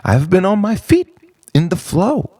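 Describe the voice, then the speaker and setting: A man delivers introspective spoken-word poetry as a monologue, in a dramatic, deliberate way with pauses between phrases. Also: sits high, sing-song, mellow, no room sound, clean studio sound. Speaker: poet.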